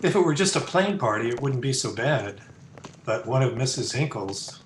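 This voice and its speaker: A man's voice: medium pitch, moderate pace, lightly acted. Man playing a wisecracking engaged young guy, sardonic, slangy, old-time radio drama, echoey room, faint noise. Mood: sardonic griping. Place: indoor room, recorded over a video call. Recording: roomy echo, noisy home video-call recording.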